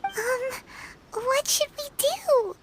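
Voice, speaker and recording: A tiny, excitable fairy companion from a game, her voice squeaky and childlike. She speaks slowly with very high pitch that leaps around a lot. Clear background noise sits behind her. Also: full character voice. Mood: worried. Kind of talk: game cutscene voice acting.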